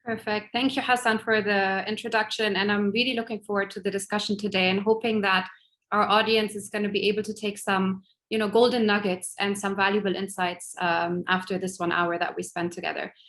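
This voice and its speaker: A woman's voice: mid-range voice, flat delivery, brisk, a bit bright. A woman speaks as a guest in an online interview, fluent and friendly. She grew up between Lebanon and Germany and talks through her answers in an easy, articulate way. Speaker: guest, tech executive.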